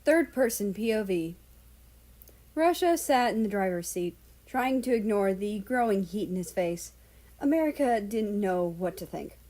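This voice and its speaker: A woman's voice: medium pitch, expressive, unhurried, mellow. A woman narrating a fan-written story aloud as its reader.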